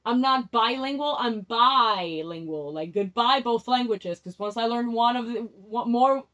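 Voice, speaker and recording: A woman's voice: on the high side, unhurried, fairly bright tone. A young woman whose first language is Spanish, doing a rambling, candid tarot reading, often restarting her sentences and laughing at herself. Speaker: tarot vlogger. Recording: dull lo-fi sound.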